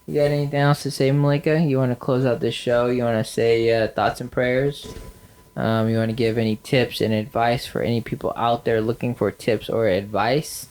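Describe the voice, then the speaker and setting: A man's voice: medium pitch, level tone, normal speed, darkish tone. Nasal guy on a podcast, rambly and sarcastic, lots of ums. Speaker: podcast co-host. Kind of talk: casual chat.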